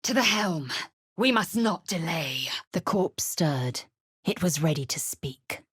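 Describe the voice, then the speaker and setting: A fierce alien warrior woman for a fantasy video game. Her voice sits fairly low and is husky and raspy, recorded very dry. She speaks in clipped, formal phrases with imperious disdain. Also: expressive, slow pace, a bit bright, dry recording, exaggerated voice. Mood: imperious, scornful. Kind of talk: video game character acting.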